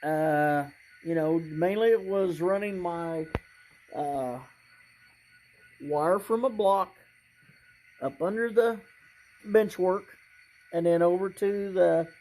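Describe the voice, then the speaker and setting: A hobbyist presenter giving a casual, unscripted demonstration in a halting, rambling way, often clearing the throat. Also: medium pitch, a little mellow, slight background noise, rough recording. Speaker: hobbyist presenter showing a model train layout.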